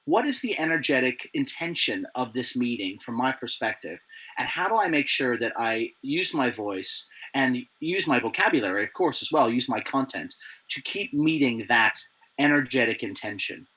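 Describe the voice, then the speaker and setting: A man being interviewed over a video call. He talks in a playful, animated way with many restarts. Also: medium pitch, normal speed, slightly rough, low-quality recording. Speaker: guest, presenter coach. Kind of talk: remote interview chat.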